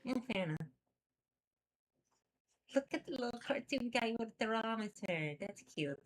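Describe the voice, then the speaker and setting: A woman's voice: a little high, lively intonation, moderate pace, slight background noise, low-quality recording. A woman chatting casually as co-host of a webcomic readalong. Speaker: co-host reading a webcomic aloud.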